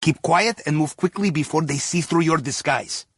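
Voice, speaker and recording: A man's voice: a little high, moderate pace, husky. A man playing a wry, upbeat Russian submarine captain guiding a heist crew in a video game, with a Russian accent and clipped English. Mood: wry, briefing. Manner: wry, playful, theatrical, with clipped non-native English. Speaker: mission guide over comms.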